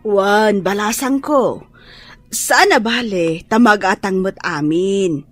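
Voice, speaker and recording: A kindly old fish vendor. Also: female voice, mid-range voice, takes it slowly, faint music behind. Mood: gentle. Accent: Ilocano. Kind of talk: radio drama acting.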